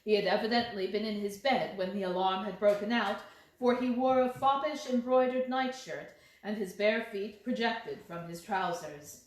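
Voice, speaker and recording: A woman's voice: mid-range voice, moderate pace. A woman reading a story aloud as scripted audiobook narration. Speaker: audiobook reader. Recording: very echoey, reverberant room.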